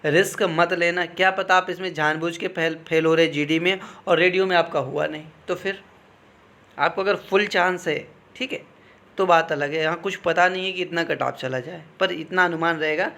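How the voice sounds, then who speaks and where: A man's voice: on the high side, steady tone, normal speed, gravelly, sharp tone. A man gives an informal, instructive explanation as a coaching teacher, often checking that listeners follow. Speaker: exam coaching teacher advising candidates. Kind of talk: informal explanatory talk on exam cut-offs.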